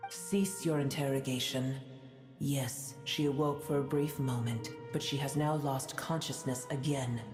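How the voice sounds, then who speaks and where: A woman's voice: extremely low pitch, fairly even intonation, unhurried, reverberant, music in the background. A woman voicing an aloof, stern guardian in an anime game, speaking in a cold, formal, curt way. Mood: cold, impatient.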